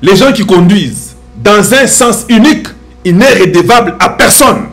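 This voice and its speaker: Man, Congolese French accent, middle-aged, preachy commentary, high voice, very lively pitch. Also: normal speed. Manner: preachy, emphatic, rhetorical questions. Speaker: commentator addressing viewers. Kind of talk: impassioned social commentary.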